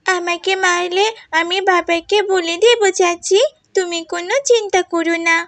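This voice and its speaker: A woman's voice: very high voice, fairly even intonation, unhurried, a bit bright, no echo. A woman voicing a stubborn, distrustful seven-year-old village girl in a cartoon, childlike and insistent. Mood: pleading, earnest. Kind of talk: animated moral story voice acting.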